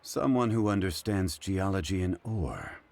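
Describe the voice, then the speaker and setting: A man voicing a calm, dignified male scholar in a video game, modest and speaking in a measured, formal, articulate way. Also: deep voice, vocal fry, noisy background, full character voice. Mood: composed. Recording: game audio with background music.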